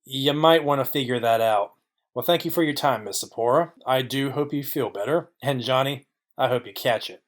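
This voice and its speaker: A man's voice: mid-range voice, moderate pace, lightly acted. A man playing a dry, wry, hardboiled private detective, narrating and questioning in film noir style. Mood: dry, probing. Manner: deadpan, wry.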